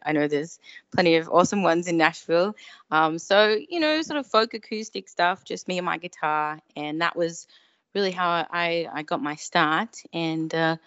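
A woman with an Australian accent and a low-pitched voice talks as a musician guest in a casual interview. She speaks in an effusive, thankful way. The sound is dry and a little muffled. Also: fairly bright tone, dry recording. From Melbourne, Australia. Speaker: guest singer-songwriter.

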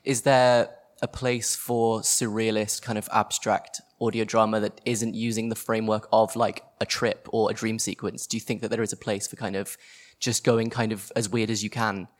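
A man's voice: fairly low pitch, little pitch movement, moderate pace, few pauses. A man in the audience of a live panel casually asking a question.

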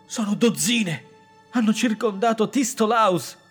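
A man's voice: high voice, measured pace, husky, soft background music. A man playing a frail, flustered old innkeeper in a radio drama. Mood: alarmed. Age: older.

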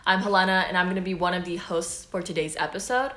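A teenage girl from the US, co-hosting a podcast in a relaxed, chatty way with lots of "like" and "um". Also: on the low side, fast talker, echoey room. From United States. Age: teens. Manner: relaxed, chatty, many fillers like "like" and "um". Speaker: podcast host. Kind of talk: casual chat.

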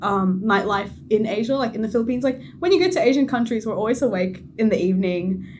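A woman's voice: mid-range voice, animated, fast, darkish tone, a little hiss or hum. A young woman with an Australian accent, a podcast guest who giggles, apologizes and uses lots of fillers. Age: young adult. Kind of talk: casual interview chat.

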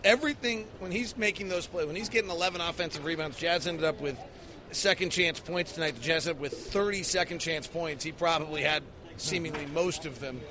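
A man's voice: a little high, a little fast, crowd noise. An American man hosting a basketball post-game podcast, giving emphatic analysis and stressing the key figures. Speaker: sports podcast host.

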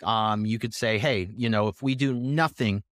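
A man around thirty speaking as a podcast guest, explaining research in a measured, conversational way with pauses between phrases. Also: lowish voice, fairly bright tone, dry recording, clean studio sound. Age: 30s.

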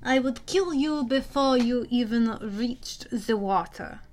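A woman voicing a menacing male monster posing as a doctor, in a slow, formal, commanding manner for an audiobook. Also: fairly high pitch, darkish tone. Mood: cruel taunting.

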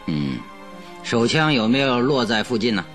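An actor playing a composed, probing master detective in a radio drama, courteous and inquisitive. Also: male voice, medium pitch, big pitch swings, normal speed, fairly bright tone, faint music behind. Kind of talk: Chinese radio drama acting.

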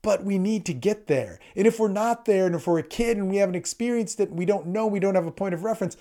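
A man's voice: high voice, fast, dark tone. A man giving an informal motivational coaching talk in an emphatic, blunt way, swearing freely and repeating phrases to drive points home.

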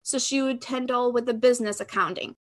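A woman's voice: fairly high pitch, fairly even intonation, fairly quick. A woman of about thirty from Albania with an Albanian accent, chatting expressively as an interview guest. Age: around 30. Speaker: podcast guest, sales expert. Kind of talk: conversational interview answers.

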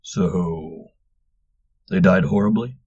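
A man's voice: sits low, takes it slowly, darkish tone, dull audio. A man doing a mocking barbarian warrior. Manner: mocking, blunt. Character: teasing burly male barbarian warrior.

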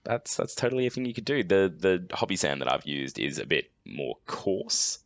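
A man co-hosting a podcast in a relaxed, self-deprecating way, his voice still a bit croaky after a late night. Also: deep voice, normal speed, no echo. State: slightly croaky voice. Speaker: podcast co-host. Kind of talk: casual chat.

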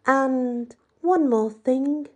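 A woman voicing a spiteful, condescending female teacher in an audiobook, breathy, with drawn-out emphasis. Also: sits high, darkish tone, voice pushed up, exaggerated voice.

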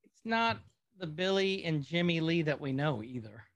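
A man's voice: on the high side, sing-song, moderate pace, a bit bright, no echo. A man co-hosting a podcast, chatting casually and with opinions about old movies. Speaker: podcast co-host.

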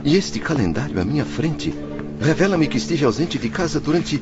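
A proud Brazilian merchant and father, lost and distraught, in a radio drama. His delivery is theatrical and halting, with extremely wide swings in pitch. The sound is slightly dull, with music clearly underneath. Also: sing-song. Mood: despairing. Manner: theatrical, anguished, halting between whispers and outcries. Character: distraught proud wine-merchant father, spirit returned home.

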